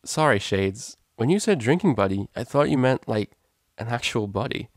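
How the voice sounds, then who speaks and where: A man's voice: mid-range voice, animated, normal speed, no echo, studio quality. A man voicing a drunk, affectionate female unicorn DJ pony in a storybook reading, rambling and hesitant in informal speech. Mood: apologetic.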